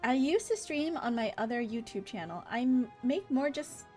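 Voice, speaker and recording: A woman livestreaming a game, chatting casually in an animated, informal way. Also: sits high, moderate pace, faint music behind. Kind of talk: casual chat while streaming a game. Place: indoor livestream setup with game music behind.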